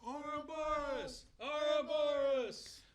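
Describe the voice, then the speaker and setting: High-voiced, agitated male scientist played by an actor. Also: steady tone, takes it slowly. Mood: alarmed. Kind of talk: scripted audio drama acting.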